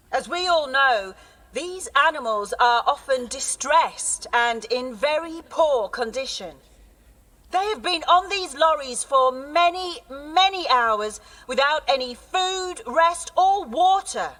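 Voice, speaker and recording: An actress playing an earnest schoolteacher who addresses a town meeting with firm, impassioned conviction. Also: female voice, high voice, sharp tone, recorded from a distance, heavily acted. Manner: firm, impassioned public speaking. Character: earnest woman teacher rallying a town meeting. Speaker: schoolteacher leading a town campaign. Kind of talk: audio drama.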